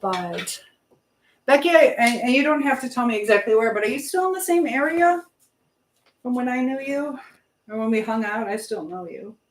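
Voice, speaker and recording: A woman's voice: fairly high pitch, sing-song, normal speed, poor audio. A middle-aged woman hosting a casual live stream, rambling between viewer comments and the baking she is doing as she talks. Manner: rambling, hopping between chat and task; chatting while baking.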